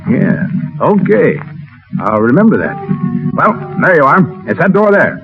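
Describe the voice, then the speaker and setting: A man's voice: on the high side, huge pitch swings, unhurried, exaggerated voice. An actor playing a cocky, slangy soldier and lady killer in 1930s radio drama. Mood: flirtatious. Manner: slangy, tough, flirtatious. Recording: muffled 1930s radio recording with music.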